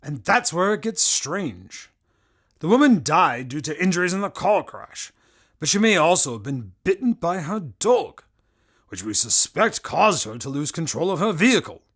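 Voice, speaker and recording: A man's voice: a little high, sing-song, moderate pace, fairly bright tone, slightly rough, heavily acted. A man playing a matter-of-fact, clinical medical examiner in an audio drama. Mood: intrigued, clinical. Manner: clinical, explanatory. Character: matter-of-fact male medical examiner reporting odd findings.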